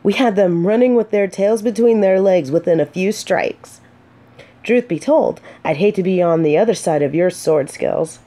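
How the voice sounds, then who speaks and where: A woman playing a gentle, earnest female knight in a fantasy romance audio drama, speaking softly with hesitant, dramatic pauses. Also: mid-range voice, lightly acted. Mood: shy, fond. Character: gentle, earnest female knight consoling a despairing blind companion.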